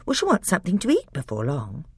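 A woman's voice: low voice, wildly swooping pitch, fast talker, breathy edge, no echo, clean studio sound, heavily acted. A woman voicing a sensible older schoolgirl in a storybook reading, with fretful cries and careful words.

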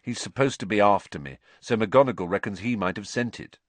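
A man's voice: fairly low pitch, brisk, no echo, close to an everyday voice. A man voicing a weary teenage boy. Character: young teen boy, tired and nervous.

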